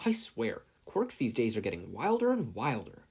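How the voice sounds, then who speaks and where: A man's voice: medium pitch, huge pitch swings, normal speed, creaky, darkish tone, slightly rough, full character voice. A man voicing an exasperated older woman medic, scolding and grumbling, in a read-aloud story.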